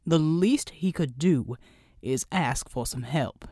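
A woman's voice: deep voice, slow, warm tone, breathy edge, dry recording, clean studio sound, voice pushed down, full character voice. A woman voicing a stern, by-the-book male superhero officer with a formal, firm and reproachful manner in an audiobook. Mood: indignant, frustrated.

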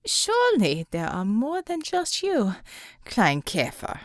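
A woman voicing a theatrical, menacing male German supervillain in an audiobook, with mocking, drawn-out lines and a German accent. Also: on the high side, wildly swooping pitch, dry recording, studio quality, full character voice.

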